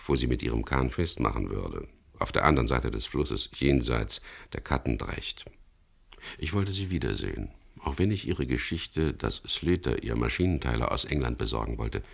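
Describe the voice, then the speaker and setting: Laconic skipper for 1970s crime radio play: weary, stubborn, extremely low gravelly voice. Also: male voice, heavily acted. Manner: laconic, understated. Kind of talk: 1970s radio drama acting and first-person narration.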